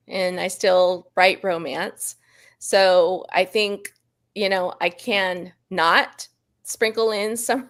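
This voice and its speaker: A woman's voice: medium pitch, a bit bright. A woman guest author answering in a casual interview, modest and a little hesitant. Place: live online video chat.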